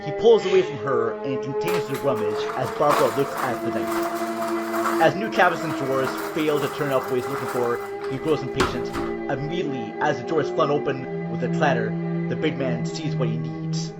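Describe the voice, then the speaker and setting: Male narrator, mid-pitched, for horror audio drama. Dramatic scripted storytelling over a very loud score. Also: brisk. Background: loud dramatic music.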